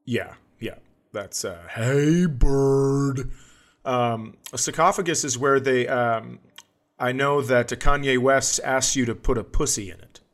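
A man's voice: mid-range voice, a little slow, fairly bright tone. A man co-hosting a comedy podcast in casual banter, riffing with playful exaggeration.

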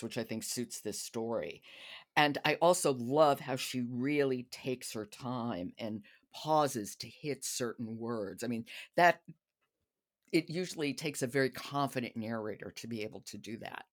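A woman's voice: a bass voice, normal speed. A woman hosting a podcast in a conversational style. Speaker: podcast host.

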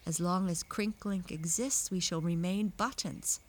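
A woman's voice: fairly low pitch, unhurried. A woman voicing a little field mouse in a storybook, explaining things in a doleful, resigned way.

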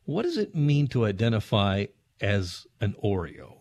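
A man hosting an interview, putting considered, attentive questions to a guest in a measured, conversational way. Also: on the low side, huge pitch swings, a little mellow. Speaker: interviewer, host.

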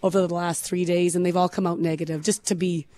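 A woman co-hosting a morning radio show in casual chat, her voice hoarse and scratchy from overuse, laughing often as she talks. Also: lowish voice, level tone, quick pace, dark tone. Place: radio studio. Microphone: studio microphone.

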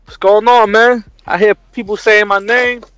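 A man's voice: sits high, fairly even intonation, moderate pace, a bit bright, faint music behind. A man in his forties from New York, calling in as a fighter and talking brash, slangy trash. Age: 40s. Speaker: caller, fighter. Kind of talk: casual call-in chat.

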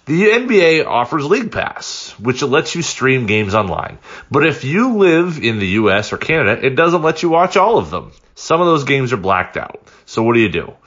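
A man hosting a podcast, reading a sponsor ad in an upbeat, conversational sales pitch. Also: sing-song, moderate pace, fairly bright tone, lo-fi sound. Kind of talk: conversational scripted ad read.

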